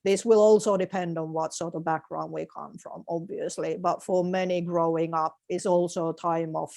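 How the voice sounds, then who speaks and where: A woman's voice: fairly low pitch, steady tone, moderate pace, gravelly, a little mellow. Thoughtful woman. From Finland. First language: Finnish. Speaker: interviewed author. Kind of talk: reflective interview conversation.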